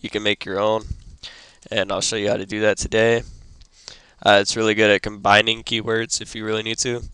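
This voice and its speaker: A man's voice: lowish voice, flat delivery, bright, forceful tone, no echo. Sick young man with a fading voice. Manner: halting, many ums and throat clearing. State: sick, losing his voice. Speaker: tutorial host. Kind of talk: casual screen-recorded tutorial.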